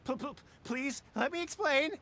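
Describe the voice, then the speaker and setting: An actor playing a cowardly, blustering troublemaker in a video game, frantic in manner. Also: male voice, extremely high pitch, normal speed, creaky, fairly bright tone, a little hiss or hum, exaggerated voice. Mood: panicked, pleading. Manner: stammering, frantic. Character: cowardly blustering male troublemaker, grovels when caught. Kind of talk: anime-style video game voice acting.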